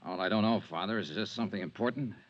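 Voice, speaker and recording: An actor playing a worldly insurance investigator in a 1950s radio drama, clipped and matter-of-fact. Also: male voice, on the low side, fast talker, muffled recording, close to an everyday voice. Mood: businesslike, reluctant. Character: brisk, worldly male insurance investigator, coolly sympathetic. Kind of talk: 1950s radio drama dialogue and scripted narration. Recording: vintage 1950s radio recording.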